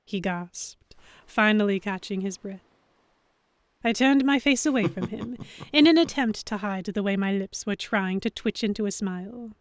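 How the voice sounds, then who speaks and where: A woman playing a young, flustered heroine who narrates a pirate romance in the first person, with expressive dramatic delivery. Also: mid-range voice, normal speed, no echo, lightly acted. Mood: breathless longing.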